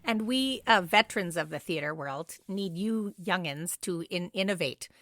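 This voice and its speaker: A woman's voice: lowish voice, animated, moderate pace, a bit bright, no echo, studio quality. Woman, 50s, teasing podcast co-host, casual chat, very little room sound. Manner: playful, teasing.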